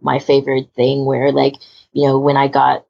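A woman with a low voice and plenty of fry hosts an informal chat. She rambles along in a self-deprecating way. The recording is a bit muffled and lo-fi. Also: completely flat delivery, normal speed, a little mellow. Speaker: podcast host. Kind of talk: casual panel chat.

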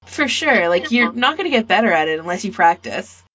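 A woman's voice: medium pitch, sing-song, brisk, a bit bright, husky. A woman talking casually in a podcast discussion about fan audio. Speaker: podcast speaker, fan podficker. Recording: dull lo-fi voice recording.

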